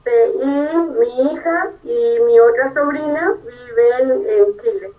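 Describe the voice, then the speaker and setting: A woman's voice: high-pitched, animated, slow pace, warm tone, far from the mic, low-quality recording. A Venezuelan woman in her fifties, an engineer answering interview questions in earnest detail, often checking in with "¿verdad?". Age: 50s. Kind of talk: conversational interview.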